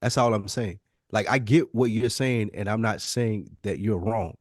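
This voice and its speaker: A man's voice: fairly low pitch, normal speed, no echo, clean studio sound. A man co-hosting a podcast, explaining his point insistently in a casual debate. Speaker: podcast co-host.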